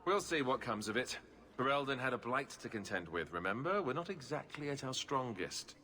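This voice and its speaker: A man's voice: mid-range voice, very animated, moderate pace, bright, forceful tone, big-room sound. An actor in a video game playing a good-humoured, weary but hopeful king with a dry, casual, joking manner. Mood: wry, regretful.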